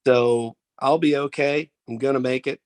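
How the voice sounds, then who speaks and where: A man's voice: medium pitch, steady tone, moderate pace, a little mellow, clean tone. A man with a head cold and a congested voice, the founder presenting an annual member update casually, off camera on a video call, rambling with fillers and restarts. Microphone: off camera, video call mic.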